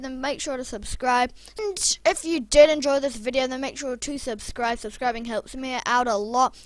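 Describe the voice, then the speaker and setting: A young YouTuber with a croaky voice, rambling casually and chatting through a building video. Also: mid-range voice, fairly bright tone. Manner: rambling, chatty, self-deprecating. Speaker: Minecraft YouTuber. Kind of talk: casual gameplay commentary.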